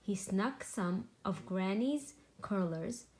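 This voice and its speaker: A woman reading a children's storybook aloud with deliberate, dramatic, expressive narration. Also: mid-range voice. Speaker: storybook reader.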